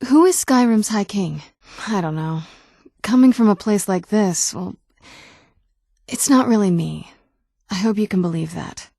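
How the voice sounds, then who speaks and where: A woman's voice: medium pitch, dark tone, dry recording, natural voice. A woman playing a guarded, wry young vampire noblewoman companion in a video game, speaking in a dry, sardonic, conversational way. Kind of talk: video game character dialogue.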